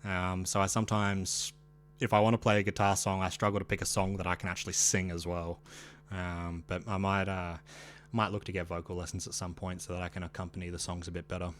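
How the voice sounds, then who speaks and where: A man streaming live, chatting casually with his viewers, hesitant with many fillers and restarts, speaking into a dynamic mic on a boom arm at home. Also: flat delivery, fairly quick, fairly bright tone, slight background noise.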